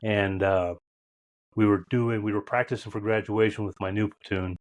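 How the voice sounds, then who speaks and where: A man in his fifties hosts a podcast interview in a fairly low voice. He talks in a reflective, conversational way and often pauses to search for the right words. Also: fairly even intonation. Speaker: podcast host.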